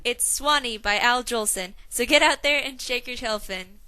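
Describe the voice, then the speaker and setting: Peppy jazz-age radio hostess, played by a young woman: bright, forceful, slangy announcer delivery, noticeable background noise. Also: on the high side, normal speed. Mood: upbeat, announcing. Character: peppy 1920s New York radio hostess, slangy and chatty. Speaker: radio show host. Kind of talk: scripted 1920s radio morning show acting.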